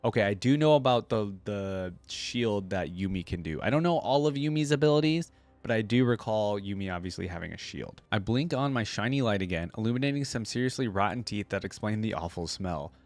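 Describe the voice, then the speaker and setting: A man's voice: fairly low pitch, lively intonation, brisk, no echo. A young man reading a story aloud and commenting casually as a reaction video host. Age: young adult.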